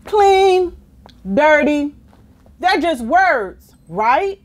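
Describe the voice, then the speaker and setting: A woman's voice: high-pitched, expressive, drawn-out words, full character voice. A woman voicing a stubborn, dramatic, cheeky storybook pigeon with exaggerated, whiny delivery. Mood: indignant, evasive. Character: stubborn, dramatic, cheeky male pigeon who hates baths. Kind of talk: children's storybook character reading.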